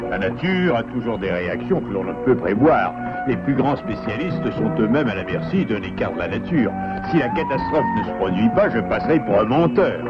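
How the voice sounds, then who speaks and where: A man voicing an earnest adult beaver who leads others in a measured, formal, authoritative way in a French cartoon dub. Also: fairly low pitch, wildly swooping pitch. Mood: grave, earnest. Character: earnest adult male beaver, a responsible father-like leader. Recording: muffled cartoon soundtrack, loud music.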